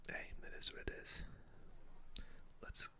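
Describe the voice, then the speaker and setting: Whispering ASMR gamer, bright and hushed. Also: measured pace. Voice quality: breathy, unvoiced whisper. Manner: casual, chatty gamer slang. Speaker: gaming video host. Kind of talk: ASMR whispered gameplay commentary.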